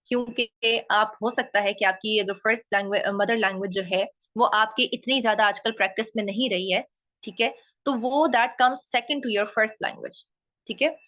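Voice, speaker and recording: A woman's voice: a little high, fairly bright tone. A woman with a Pakistani Urdu accent, a linguistics researcher explaining concepts and often checking in with "okay?". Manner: frequent "okay?" checks, mixing in English phrases. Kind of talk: explanatory talk. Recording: dull lo-fi audio.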